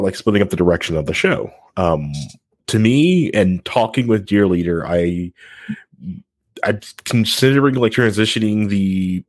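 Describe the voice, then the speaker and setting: A man's voice: on the low side, no room sound. A man hosting a casual podcast, talking in a rambling, jokey way. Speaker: podcast host.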